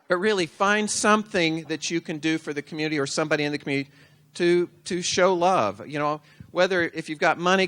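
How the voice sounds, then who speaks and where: A man with a fairly high-pitched voice talks at a community breakfast meeting. He is chatty and anecdotal. He leans on repeated words for emphasis. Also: a bit bright. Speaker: community speaker. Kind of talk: casual community talk.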